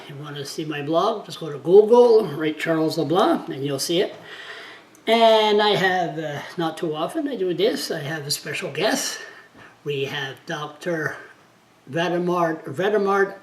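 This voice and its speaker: A man's voice: sits high, lively intonation, measured pace, reverberant. A man with a French Canadian accent whose first language is French, hosting an interview in a chatty, informal way. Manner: chatty, informal, plain phrasing. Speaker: blogger host.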